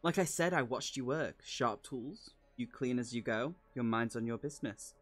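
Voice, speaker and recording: A man's voice: mid-range voice, moderate pace, faint music behind, close to an everyday voice. A man reading the lines of a big, battle-worn female adventurer, frank, confident and plain-spoken, from a fantasy novel. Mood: assured.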